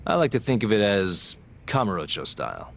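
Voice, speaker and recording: A man's voice: on the low side, huge pitch swings, a little fast, gravelly, a bit bright, slight background noise, full character voice. An actor playing an easygoing, streetwise male private detective in an informal way for a video game dub. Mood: relaxed, casual.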